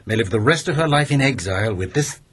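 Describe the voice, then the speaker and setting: An actor playing a haughty, vindictive lord who speaks with contempt and menace. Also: male voice, mid-range voice, animated, fast, fairly bright tone, breathy edge. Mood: contemptuous. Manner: contemptuous, threatening. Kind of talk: radio drama acting.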